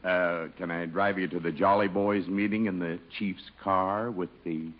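An actor playing a folksy, wheedling middle-aged police chief in a 1950s radio comedy, talking deliberately and coaxingly. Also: male voice, sits low, steady tone. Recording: muffled vintage 1950s radio recording.

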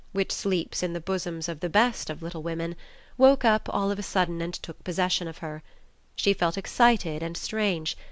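Woman narrating an audiobook, smooth clear voice, kinda low, dry close sound. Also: dry recording. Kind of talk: scripted literary narration.

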